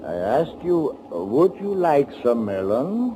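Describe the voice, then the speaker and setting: An actor playing an affable, oily villain in a 1940s radio thriller, talking with a genial, sly, unhurried manner. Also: male voice, medium pitch, big pitch swings, dark tone. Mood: friendly insistence. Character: affable, oily male bus passenger, secretly a Nazi killer. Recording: faintly noisy 1940s radio recording.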